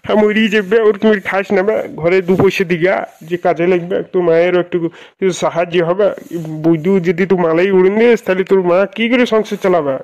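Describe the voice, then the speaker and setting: A man's voice: high-pitched, fairly quick, a little mellow, lo-fi sound, lightly acted. A man playing a hapless lovesick young man in a comedy cartoon, whining and melodramatic. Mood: dejected. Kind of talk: Bangla comedy cartoon dubbing.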